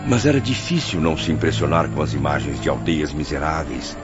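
A man's voice: very deep voice, fairly quick. An actor playing a sorrowful spirit narrating his memories in a radio drama. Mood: solemn, wistful. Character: sorrowful male spirit recounting his own afterlife memories. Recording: muffled, music behind.

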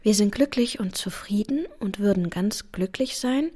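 Actress as tender, spirited young wife. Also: female voice, mid-range voice, moderate pace, warm tone, husky, natural voice. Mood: soft, hopeful. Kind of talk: German radio play acting.

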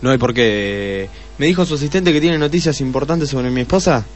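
An actor playing a nervous, defensive husband under questioning in a radio play, hesitant and stammering. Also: male voice, mid-range voice, a little fast, faint music behind, natural voice. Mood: puzzled, uneasy. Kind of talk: Spanish-language radio play acting.

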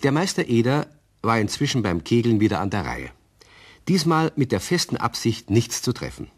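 A man narrating a children's radio play as a calm, explaining storyteller with a husky voice. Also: mid-range voice, moderate pace. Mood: measured, explanatory.

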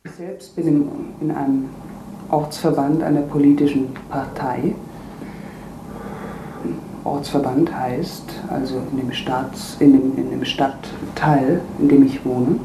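A medium-pitched speaker explains in a halting, deliberate manner. The recording is echoey, with clear noise behind the voice. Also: fairly even intonation. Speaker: local political party member. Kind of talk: spontaneous explanatory speech.

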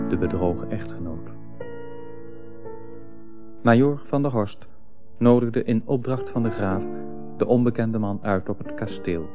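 A man giving a measured, deliberate scripted introduction to a radio drama as narrator. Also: lowish voice. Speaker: announcer, narrator. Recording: dull lo-fi radio recording. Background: loud piano music.

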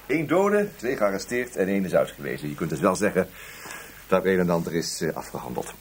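An actor playing a wry, sarcastic, restless police detective in a radio drama, muttering hesitantly and breaking into exclamations. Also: male voice, low-pitched, very animated, brisk. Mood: sardonic. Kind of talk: Dutch radio drama acting. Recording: noisy old radio drama recording.

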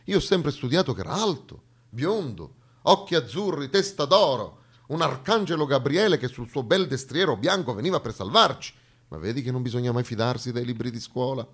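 Male stage storyteller, mid-range voice, comic monologue with dramatic pauses, hushed to emphatic. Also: medium pitch, expressive, husky.

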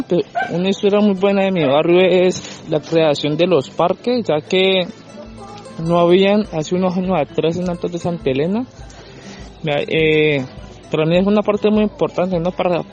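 Man, fairly high voice, earnest and a bit halting, dull lo-fi sound, music under. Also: darkish tone. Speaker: community garden member. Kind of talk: short community testimony.

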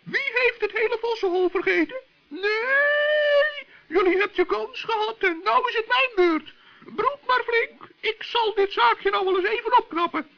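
A man playing a plucky, bossy little forest gnome in a children's radio play, excitable and repeating himself with stammers. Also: a little slow, exaggerated voice. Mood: bossy, indignant. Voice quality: high, squeaky, creaky. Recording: muffled old radio play recording.

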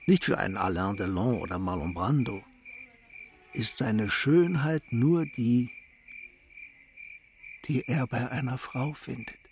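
An actor playing a calm, wise older shopkeeper and mentor in a radio play, speaking deliberately and philosophically. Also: male voice, mid-range voice, a little hiss or hum. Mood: gently instructive.

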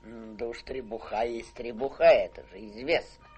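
An actress playing a sour old female servant in a radio play, muttering and grudging in a creaky voice. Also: very deep voice, normal speed, a little mellow, a little hiss or hum. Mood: grumbling. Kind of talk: theatrical radio play acting.

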